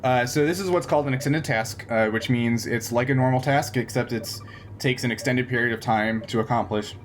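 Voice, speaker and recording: A man runs a tabletop game, talking through the rules without a script. His voice is mid-pitched and his delivery halting and explanatory. There is faint noise behind him. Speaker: game master.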